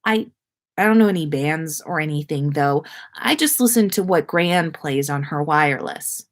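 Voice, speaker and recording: A woman voicing a shy, kindly schoolboy with a slightly gruff, hesitant manner in a read-aloud story. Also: low-pitched, lively intonation. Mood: hesitant, friendly. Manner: hesitant, halting.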